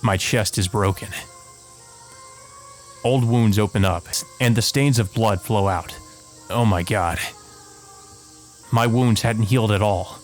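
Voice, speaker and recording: A man's voice: fairly low pitch, slow pace, pauses often. A man hosting a let's play, reading visual novel narration aloud and adding casual asides, with game music behind him.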